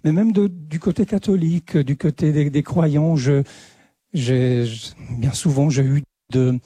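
A man with an Italian accent delivers a slow conference talk in a non-native language, stumbling and restarting his phrases as he searches for words. His voice is very dark and somewhat husky, and it sits a little high for a man. The sound is dry and close. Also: no echo. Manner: halting, many repeated words and restarts. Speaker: lecturer.

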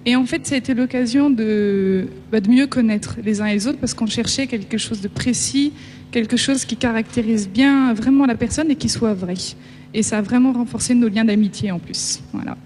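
Higher-voiced young Parisian woman in a hall. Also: moderate pace, a little mellow. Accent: French. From Paris, France. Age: 20s. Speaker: young witness giving a testimony. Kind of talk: informal spoken testimony. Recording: reverberant hall, faint hum.

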